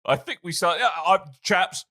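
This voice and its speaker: Jokey Brummie man, lively pitch. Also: moderate pace, dry recording, clean studio sound. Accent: Birmingham. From England. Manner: jokey, playful banter. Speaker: podcast host. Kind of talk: casual chat.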